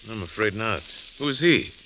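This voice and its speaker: A grave, courteous gentleman with something held back, from a vintage radio mystery. His low voice is forceful and expressively inflected, formal and polite, on a dull old recording. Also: lively intonation, moderate pace, slight background noise. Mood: guarded. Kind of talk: old-time radio drama acting.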